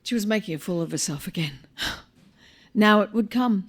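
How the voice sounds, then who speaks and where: An Australian woman reading a short story aloud live, in an expressive, wry manner with dramatic pauses. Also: medium pitch. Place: live on stage at a small venue.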